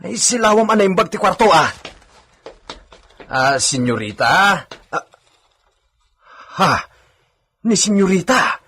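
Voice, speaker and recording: An actor playing a startled, earnest household servant in a radio drama, hesitant and exclaiming, with faint noise behind him. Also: male voice, high voice, very animated, slow, long pauses, sharp tone, exaggerated voice.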